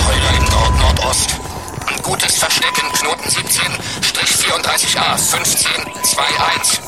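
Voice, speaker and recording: An actor voicing an agitated digital creature in a radio drama, calling out reports in clipped, telegraphic bursts. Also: male voice, fairly high pitch, low-quality recording. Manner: staccato, telegraphic calling-out of positions. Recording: loud music under the voice.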